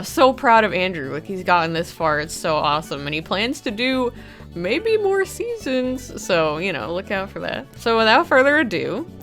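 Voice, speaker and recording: Chill, bright-voiced woman vlogger. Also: medium pitch. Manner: chill, somewhat monotone. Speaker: competitive gamer and content creator. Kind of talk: casual vlog.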